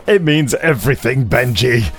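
A man's voice: mid-range voice, expressive, normal speed, a bit bright, faint music behind. A man hosting a podcast, chatting casually in a rambling, wry way with many digressions. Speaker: podcast host. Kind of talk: casual podcast chat with readings.

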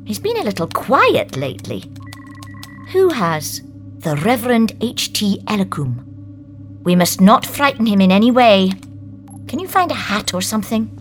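A woman giving an expressive audiobook performance, moving between acted dialogue and narration. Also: medium pitch, normal speed, lightly acted. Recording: music behind the voice.

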